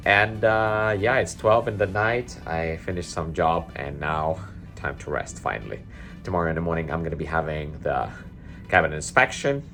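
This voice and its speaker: Ukrainian-accented man, very low voice, chatty vlog delivery over clear background music. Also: a bit bright. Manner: chatty, slightly broken English, upbeat. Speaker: assistant cruise director vlogging. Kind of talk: casual vlog narration. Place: on a cruise ship, often on open deck. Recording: music playing behind the voice.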